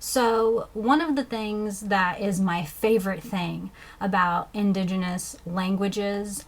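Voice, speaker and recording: A woman from the States, teaching basic phrases as a beginning learner, pronouncing words slowly and deliberately. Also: medium pitch. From southern United States. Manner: careful, deliberate pronunciation with fillers. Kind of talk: slow instructional explanation.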